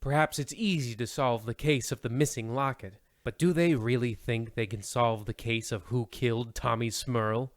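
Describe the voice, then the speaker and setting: A man's voice: medium pitch, steady tone, normal speed, few pauses, dry recording, natural voice. A man acts as an ominous narrator of an old radio mystery. His mid-range voice is theatrical and full of foreboding. The sound is dry, with no room around it.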